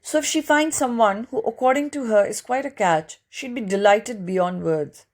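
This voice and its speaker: A woman's voice: fairly high pitch, normal speed. A woman reading a book chapter aloud as scripted audiobook narration.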